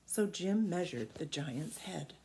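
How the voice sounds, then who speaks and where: A middle-aged woman reading a picture book aloud to children in expressive narration, recorded in a room at home. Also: on the low side, slow pace, slight background noise, low-quality recording. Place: small indoor room at home.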